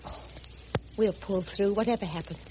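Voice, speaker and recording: A woman's voice: medium pitch, normal speed, close to an everyday voice. An actress playing a devoted, determined, genteel woman with an English accent and earnest, proper diction in a radio drama. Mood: resolute. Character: devoted, determined, genteel woman adventurer, gentle but stubborn. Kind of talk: BBC radio drama acting. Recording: dull, noisy old radio recording.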